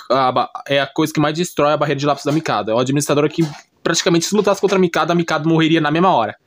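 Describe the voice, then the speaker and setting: Male, Brazilian accent, slightly high voice. Informal YouTube recap narrator, improvised, repetitive, peppered with short check-in tags. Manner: repetitive, often checks listener understanding with short tag questions. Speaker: YouTuber recapping anime and manga. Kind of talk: casual unscripted plot explanation.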